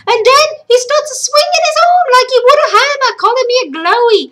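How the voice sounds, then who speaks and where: A woman doing a shrill ranting old wife. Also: lively intonation, fairly quick, fairly bright tone. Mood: frantic.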